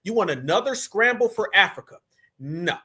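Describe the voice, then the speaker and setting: High, bright-voiced fired-up male commentator. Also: expressive, moderate pace. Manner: fired-up, addresses audience directly as "fam" and "y'all". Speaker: online commentator. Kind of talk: reaction commentary.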